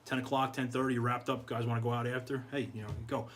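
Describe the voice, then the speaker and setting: A man's voice: mid-range voice, level tone, quick pace, a bit bright. A middle-aged American man speaking casually and earnestly as an interview guest, repeating phrases for emphasis. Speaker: guest, fire chief. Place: podcast studio, indoors. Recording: clear background noise, room ambience.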